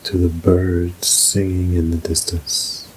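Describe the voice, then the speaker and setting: A man narrating a scripted guided meditation in a soothing, measured, unhurried way. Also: sits low, monotone, a little hiss or hum, poor audio.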